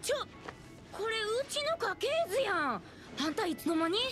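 A spirited young video game fighter with a Kansai accent. She is brash and blunt, her very high voice swinging up and down. Music plays behind her. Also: sing-song, moderate pace. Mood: flustered, irritated. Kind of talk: Japanese video game cutscene acting.

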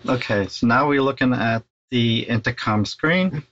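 A man's voice: mid-range voice, a little slow, a bit bright. Middle-aged man, slightly muffled tutorial voice. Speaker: tech installer presenting a how-to tutorial. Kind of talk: casual step-by-step tutorial.

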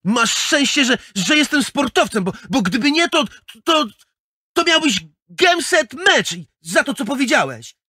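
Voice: high voice, sing-song, measured pace, sharp tone, dry recording, studio quality, exaggerated voice. A performer playing a proud, sulky young schoolboy athlete in a children's audio drama, defensive and prone to stammer. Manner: defensive, stammering when flustered.

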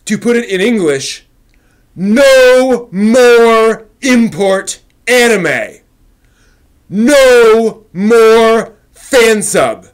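A middle-aged man giving a theatrical dramatic reading, with impassioned, overwrought emphasis and drawn-out words. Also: very high-pitched, animated, clear, resonant tone. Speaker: host reading an old forum post aloud. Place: small home room with shelves, indoors.

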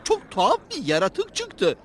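A man voicing a frightened, excitable caravan servant in a cartoon, flustered and deferential. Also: extremely high pitch, unhurried, heavy background noise, exaggerated voice. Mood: panicked. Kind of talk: cartoon dubbing.

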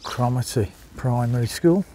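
A man's voice: mid-range voice, normal speed, warm tone, a little hiss or hum. A man with a Birmingham accent narrating a walking tour outdoors, chatting to viewers and calling them folks as he films with a handheld phone. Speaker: vlogger. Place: outdoors, walking a small seaside town.

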